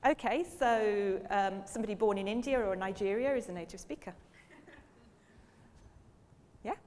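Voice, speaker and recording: A woman's voice: medium pitch, normal speed, darkish tone. Woman lecturing in an echoing hall. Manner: engaged lecturing, asks audience questions. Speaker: university professor giving a public lecture. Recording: long reverb of a lecture hall.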